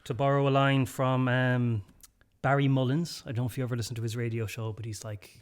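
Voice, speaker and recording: A man's voice: mid-range voice, level tone, fairly quick, smooth. A man co-hosting a podcast in a wooden cabin, with dry teasing banter. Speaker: podcast co-host. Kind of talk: casual chat.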